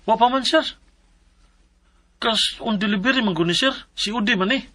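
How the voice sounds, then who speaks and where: A man's voice: high-pitched, moderate pace, background noise, close to an everyday voice. An actor in his twenties playing a young male delivery rider, polite and apologetic, who also narrates his own troubles. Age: 20s. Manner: polite and apologetic, says sir and ma'am often. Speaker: letter sender narrating his problem.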